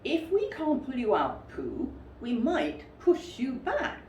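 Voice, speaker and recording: A woman voicing a brisk, stern, fussy male rabbit in a storybook, emphatic and matter-of-fact as he scolds. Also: sits high, wildly swooping pitch, a little mellow, voice pushed up, full character voice. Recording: roomy, distant mic with faint noise.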